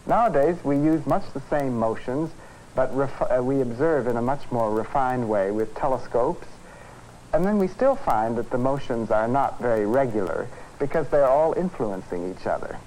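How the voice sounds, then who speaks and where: An old educational film soundtrack with faint noise carries a man's mid-pitched voice. He lectures as a physics professor, explaining and demonstrating in a measured but conversational manner. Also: animated, darkish tone, low-quality recording. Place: film studio.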